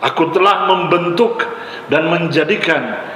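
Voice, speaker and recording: A man's voice: a little high, measured pace, pushed and bright. A male pastor from Ambon, Indonesia, preaching a sermon in short, emphatic, exhorting phrases with repetition. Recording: echoey hall with long reverb, faint noise.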